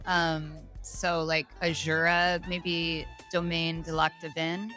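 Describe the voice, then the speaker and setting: A woman with a bright voice pitched a little low speaks as an interview guest. She explains casually and easily, thinking out loud. There is faint music behind her. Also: flat delivery, takes it slowly. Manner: easygoing, thinking aloud. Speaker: guest, wine bar owner.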